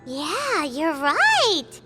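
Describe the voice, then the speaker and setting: A woman voicing a tiny, childlike, excitable fairy companion in a video game, chirpy and full of curiosity. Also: very high voice, extremely slow, over loud music, heavily acted. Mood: wondering, observant. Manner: chirpy, refers to self in third person. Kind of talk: video game character dialogue.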